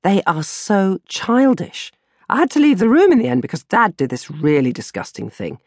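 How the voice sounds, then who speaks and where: A woman's voice: mid-range voice, very animated, normal speed, gravelly, no echo, clean studio sound. A woman narrating a comic first-person diary as an audiobook, with a dramatic, exasperated delivery. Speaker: audiobook narrator reading her own novel.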